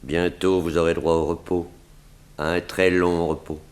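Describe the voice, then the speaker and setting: A hypnotised killer sculptor in vintage French radio drama. His very low voice delivers short, ominous phrases slowly, as if in a trance. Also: level tone. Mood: ominous, trancelike. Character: sinister, entranced sculptor strangler, male. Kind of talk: 1960s French radio serial acting.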